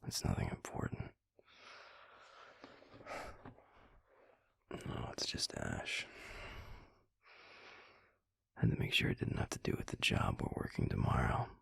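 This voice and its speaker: A man playing a gruff, sardonic werewolf boyfriend in an intimate audio roleplay, half-whispering in a teasing, grudgingly fond drawl. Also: fairly bright tone, dry recording, heavily acted. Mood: casual, low. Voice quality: very deep, gravelly, breathy. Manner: slow teasing drawl with heavy sighs, dry sarcasm.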